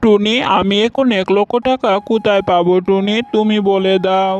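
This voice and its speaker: A man's voice: high-pitched, steady tone, normal speed, lo-fi sound, faint music behind, natural voice. A man voicing an earnest adult husband and son bird in a cartoon story. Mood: worried.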